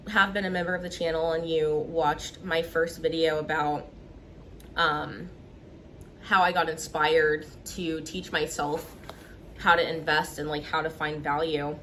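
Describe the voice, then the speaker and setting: A woman hosting an investing channel, talking casually to camera, congested and a little nasal from a cold, with a relaxed, chatty manner full of fillers. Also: low voice, slight background noise. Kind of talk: casual explainer talk to camera.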